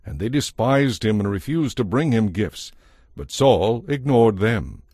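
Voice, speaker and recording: Male narrator, low-pitched, animated intonation, scripted Bible storytelling, dry close sound. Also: measured pace, breathy edge, dry recording.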